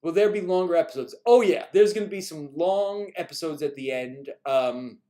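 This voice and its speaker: Man from New York, slightly high voice. Loose, chuckling live-stream host, mildly exasperated with repeat questions. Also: moderate pace, warm tone. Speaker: animation creator answering viewer questions.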